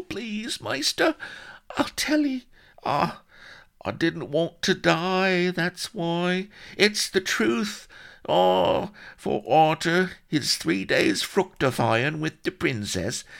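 A shifty old castaway with a West Country burr, pitched up high and swooping about. He rambles and wheedles like a storybook yokel, whimpering in thick dialect. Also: gravelly, full character voice. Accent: English West Country.

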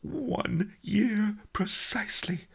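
A man's voice: high-pitched, takes it slowly, creaky, slightly rough, voice pushed up, heavily acted. A man voicing a cunning, indignant old great-grandfather in a storybook, given to theatrical huffing and wailing. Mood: tearful. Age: older. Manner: theatrical, huffy, sobbing outbursts.

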